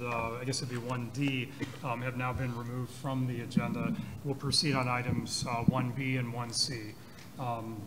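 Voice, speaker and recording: Man, board chair, hesitant, lots of ums, city council chamber, noisy recording. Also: mid-range voice. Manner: hesitant, many ums and uhs. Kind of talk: formal meeting chairing. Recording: noisy public meeting audio.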